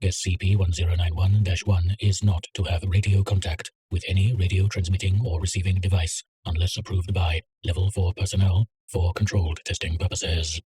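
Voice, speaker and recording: A man reading a containment document aloud in a measured, clinical way. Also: sits low, level tone, mellow, a little hiss or hum. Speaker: narrator. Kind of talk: scripted reading of a containment file.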